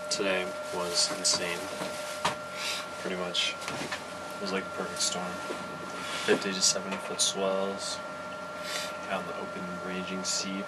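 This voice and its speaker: A man's voice: completely flat delivery, unhurried, long pauses. A plain-spoken, laconic man answering interview questions casually as a crew member inside a small boat. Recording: very noisy boat interior, engine rumble.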